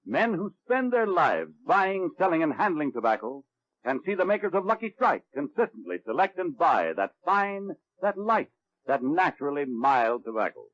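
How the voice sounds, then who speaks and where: A man's voice: a little high, big pitch swings, moderate pace, fairly bright tone, no echo. A man reading a scripted 1940s radio commercial as announcer, with a dull old broadcast sound. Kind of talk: 1940s cigarette ad read.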